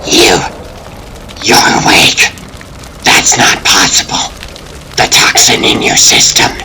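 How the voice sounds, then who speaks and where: A woman voicing a menacing young villainess in a comic dub drama, in a breathy whisper. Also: extremely low pitch, slow pace, background noise, heavily acted. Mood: shocked, disbelieving.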